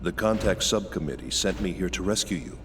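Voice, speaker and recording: A man voicing a world-weary military cyborg in a video game, terse, deadpan and matter-of-fact. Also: low voice, a little fast. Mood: businesslike. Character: stoic world-weary adult male military cyborg rescuer. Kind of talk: English video game dub acting. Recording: loud noise and sirens behind voice.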